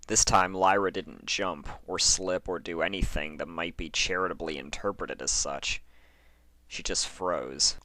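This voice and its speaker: A man reading a horror story as narrator, in a measured and dramatic storytelling manner. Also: sits low, sharp tone, no room sound. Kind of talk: scripted narration of horror fan fiction.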